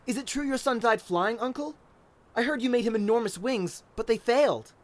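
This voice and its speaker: A man's voice: very high voice, normal speed, voice pushed up. A man voicing an eager, naive young boy apprentice, earnest and insistent, in a radio-play style story. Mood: curious, eager.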